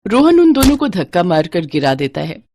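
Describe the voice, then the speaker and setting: A woman's voice: lowish voice, sing-song, moderate pace, a little mellow, a little hiss or hum. A woman narrator reading a scripted story in a storytelling style.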